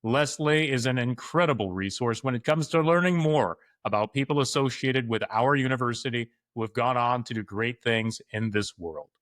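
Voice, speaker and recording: A man's voice: mid-range voice, normal speed, few pauses, fairly bright tone, no echo. A man hosts a podcast, reading sports news and sponsor notes with upbeat ease. His voice sits in the middle range. The sound is dry and close-miked, with no room around it. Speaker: podcast host. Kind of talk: scripted podcast announcements and interview.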